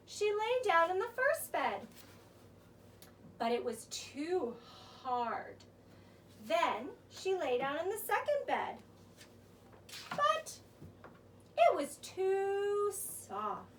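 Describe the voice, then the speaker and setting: A woman narrating a children's story as an expressive, theatrical storyteller. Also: very high voice, very slow, long pauses. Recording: distant mic with faint noise.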